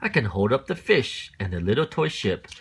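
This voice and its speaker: A man's voice: medium pitch, big pitch swings, normal speed, creaky, breathy edge, exaggerated voice. Man putting on a showy, swooping, mischievous storybook cat. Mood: showing off. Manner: showy, coaxing, emphatic. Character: playful, boastful, mischievous male trickster cat. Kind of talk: children's storybook read aloud.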